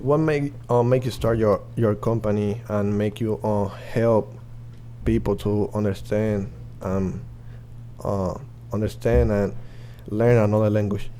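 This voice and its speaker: Low-voiced young male podcast host. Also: fairly even intonation, mellow, a little hiss or hum. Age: young adult. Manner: halting, many "uh" fillers. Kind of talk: casual podcast interview.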